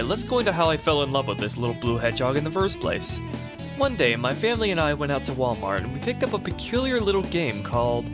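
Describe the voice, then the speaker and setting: A man narrating a video essay in a reflective, nostalgic way. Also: a little high. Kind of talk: scripted narration. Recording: narration played over music.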